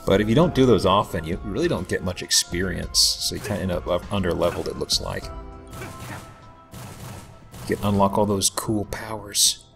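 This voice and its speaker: A man giving casual, somewhat halting commentary as host of a gameplay video, with a self-deprecating manner. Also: low-pitched, gravelly, fairly bright tone. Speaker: let's play host. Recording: game music behind voice. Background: video game music.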